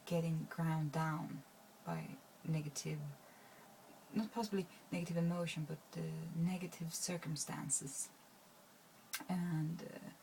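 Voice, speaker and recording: A woman's voice: low voice, creaky, a little mellow, slight background noise, low-quality recording. A woman, talking to her viewers in a rambling, hesitant way with many fillers and restarts. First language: Swedish. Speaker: vlogger. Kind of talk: casual talk to camera.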